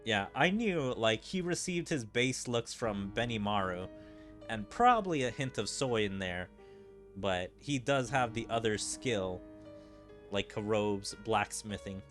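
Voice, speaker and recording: A man's voice: fairly low pitch, animated, measured pace, a bit bright. Man rambling through casual game commentary, music behind. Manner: rambling, hesitant explanations peppered with "like". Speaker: gaming streamer.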